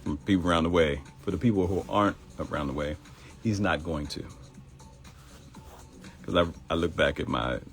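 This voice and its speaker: A man's voice: very deep voice, moderate pace, pauses often, faint music behind. A middle-aged man with a Baltimore accent hosting a live morning show in casual talk, addressing viewers directly and acting out quoted voices.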